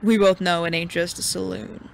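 Creaky-voiced, cocky Wild West sheriff played by an actor. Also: mid-range voice, fairly even intonation, normal speed, slight background noise, natural voice. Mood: combative. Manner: drawling folksy frontier speech, combative. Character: cocky, gruff young Western sheriff, foul-mouthed and vengeful. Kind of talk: Western audio drama acting.

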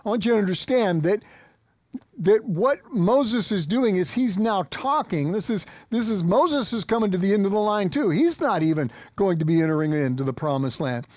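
American guy preaching to an online church, pretty high voice, repeats stuff for emphasis, dry close sound. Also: lively intonation, normal speed, a little mellow, no echo. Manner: emphatic repetition, exhorting. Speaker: pastor. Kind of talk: sermon to an online congregation. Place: small indoor church studio set.

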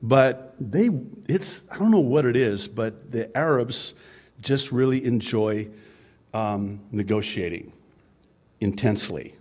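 A pastor in his fifties, a native Arabic speaker, preaching a sermon in a relaxed, conversational way with chuckling asides and frequent fillers. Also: mid-range voice, takes it slowly.

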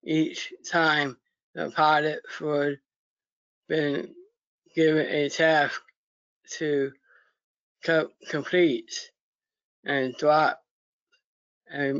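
A man reads a children's story extremely slowly and haltingly, a few words at a time. His voice is a little high for a man. The recording is a dry, muffled video call. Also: flat delivery, fairly bright tone, clear, resonant tone, no room sound. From United States. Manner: halting word-by-word reading with pauses and stumbles. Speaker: story reader. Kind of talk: reading a children's story aloud.

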